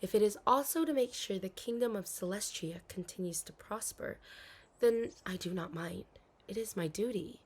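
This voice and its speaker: A woman's voice: medium pitch, moderate pace, slightly rough, lightly acted. A woman playing a dutiful, anxious young princess in an intimate audio roleplay, speaking formally with hesitations and sighs. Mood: bright, defensive. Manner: formal storybook diction, hesitant, sighing.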